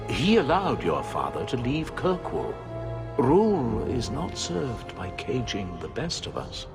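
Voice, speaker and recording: An actor playing a solemn, cryptic older man in a fantasy video game, with a grave, formal, measured delivery. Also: mid-range voice, huge pitch swings, full character voice. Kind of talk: dramatic fantasy game voice acting. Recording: music clearly behind. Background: music.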